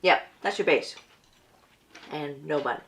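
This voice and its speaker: A woman's voice: low-pitched, takes it slowly, a little hiss or hum. A woman from Canada co-hosting a casual livestream chat at an off-grid cabin, reading viewer comments and laughing often. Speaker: livestream co-host.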